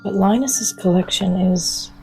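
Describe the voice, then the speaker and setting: An actress playing a wild, wary young woman, speaking a reflective, hushed and halting monologue in an intimate urban fantasy audio drama. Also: medium pitch, gravelly, over music, low-quality recording. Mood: musing, unsettled.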